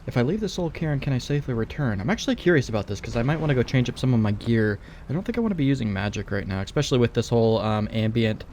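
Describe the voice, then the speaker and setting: A man's voice: mid-range voice, darkish tone, a little hiss or hum. A man doing casual let's play commentary, laid-back and slangy, thinking aloud as he goes. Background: faint game ambience.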